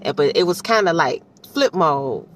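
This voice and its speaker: Lady w a low voice just chatting abt books, super colloquial n animated, bit of noise in the back. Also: normal speed. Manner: animated, colloquial, repeats phrases for emphasis; chatty, often checks in with the listener. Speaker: audiobook reviewer giving personal commentary. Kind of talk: casual, unscripted book commentary.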